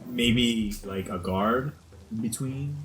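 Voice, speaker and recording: Casual man, mid-pitched, gaming. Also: extremely slow, music in the background. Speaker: player in a tabletop game. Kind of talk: casual, joking game chat.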